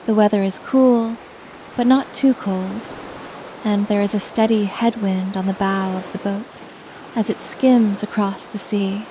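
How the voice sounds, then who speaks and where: A woman narrating a scripted guided sleep meditation in a soothing, gentle manner. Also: medium pitch, fairly even intonation, unhurried, noisy background.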